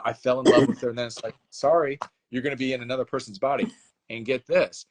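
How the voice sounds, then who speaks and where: A man, an author being interviewed, telling his book's plot in a casual, animated way and acting out bits of dialogue. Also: mid-range voice. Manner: animated storyteller, acts out dialogue with laughs. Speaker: author guest.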